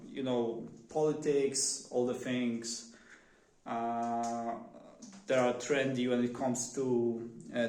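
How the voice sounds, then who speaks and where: A man with a Polish accent, talking off the cuff in a one-take vlog, pausing often with fillers and restarting his sentences. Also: mid-range voice, darkish tone, echoey room, rough recording. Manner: hesitant, many fillers and restarts. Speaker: vlogger. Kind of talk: unscripted one-take monologue. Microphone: phone.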